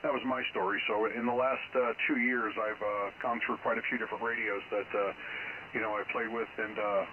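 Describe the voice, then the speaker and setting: A man's voice: fairly low pitch, fairly even intonation, fairly quick. An American amateur radio operator, a man chatting casually and rambling from story to story over a radio transmission. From United States. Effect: shortwave radio transmission. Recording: narrow, noisy two-way radio signal.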